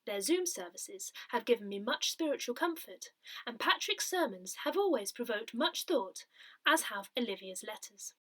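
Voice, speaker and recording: Woman, slightly high pitch, very lively intonation, scripted newsletter narration, measured phrasing. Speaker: newsletter reader. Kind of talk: scripted narration, reading parish newsletter articles aloud.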